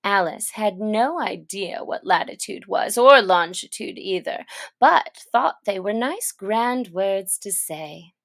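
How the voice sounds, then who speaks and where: Soft-voiced woman reading a storybook. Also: mid-range voice, animated, slow pace, vocal fry, dry recording. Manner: gentle, soft, often near a whisper.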